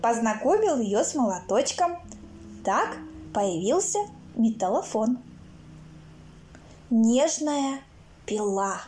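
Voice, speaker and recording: A woman reading her own children's stories aloud in an expressive, theatrical way, acting out sounds and characters. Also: fairly high pitch, slow, long pauses. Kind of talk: expressive children's storybook narration. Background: music.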